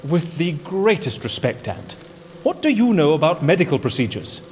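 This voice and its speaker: An actor playing a witty, pompous prisoner in a comedic audio drama, theatrical and sarcastically polite. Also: male voice, on the high side, big pitch swings, moderate pace, slight background noise. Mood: exasperated. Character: witty, pompous, exasperated male prisoner and schemer.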